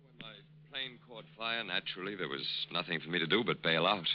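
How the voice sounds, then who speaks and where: Deep-voiced smooth wartime con man. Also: animated. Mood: falsely modest. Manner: halting, falsely modest, wooing. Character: smooth middle-aged male con artist posing as war-hero major. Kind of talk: 1940s radio drama acting. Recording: dull, noisy old radio recording.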